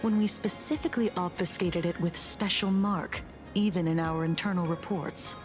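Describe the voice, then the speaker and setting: A woman's voice: on the low side, fairly even intonation, normal speed, close to an everyday voice. A woman playing a serious, by-the-book investigator in a video game, speaking formally and precisely with some exasperation. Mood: frustrated. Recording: music behind the voice.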